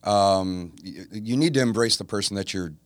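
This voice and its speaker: A man in his forties, a tribute singer with a deep voice, talking as a guest in a studio in a relaxed, rambling way with many fillers. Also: moderate pace, no room sound. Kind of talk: casual interview chat.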